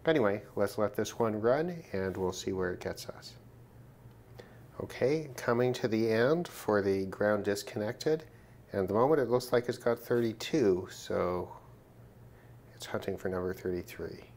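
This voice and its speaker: A man giving an informal hands-on gear demonstration, thinking aloud in a measured way as he goes. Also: lowish voice, animated, a little mellow, slight background noise. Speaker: hobbyist demonstrating a shortwave radio test. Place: indoors at a work desk.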